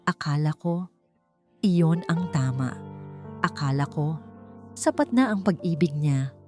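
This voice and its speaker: A woman narrator voicing a hesitant, wounded woman artist in a romance story, speaking softly and haltingly with wistfulness. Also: sits low, lively intonation, faint music behind. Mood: sad, fearful.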